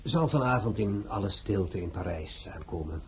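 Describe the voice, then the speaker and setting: A man playing a scheming, ingratiating police minister in a radio play, with a smooth, mockingly polite manner. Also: deep voice, lively intonation, moderate pace, heavily acted. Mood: sly. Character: sly, scheming male police minister, middle-aged.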